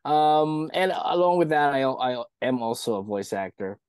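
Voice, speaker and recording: A man with a slightly croaky voice, guest hosting a podcast in an upbeat, chatty way. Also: mid-range voice, lively intonation, normal speed, a little mellow, no echo, clean studio sound. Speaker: guest host, author. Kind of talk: casual podcast chat.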